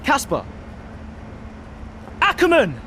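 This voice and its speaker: An actor playing a young, aggrieved racing driver in a game cutscene, indignant and exasperated. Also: male voice, extremely high pitch, wildly swooping pitch, slow, noisy background, heavily acted. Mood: angry, exasperated. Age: young adult. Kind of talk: video game cutscene acting.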